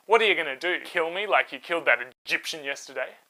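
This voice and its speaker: Man doing a loud, taunting ancient brawler. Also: on the high side, fast talker, rough recording. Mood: defiant, mocking. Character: confrontational, sneering Israelite brawler.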